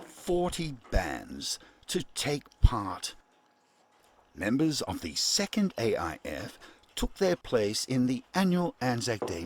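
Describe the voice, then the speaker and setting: A man plays a 1940s wartime radio newsreader with a lowish voice and slow, halting delivery. He is formal and grave, yet his pitch swings widely from phrase to phrase. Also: a little hiss or hum. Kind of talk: 1940s radio news reading in a radio play.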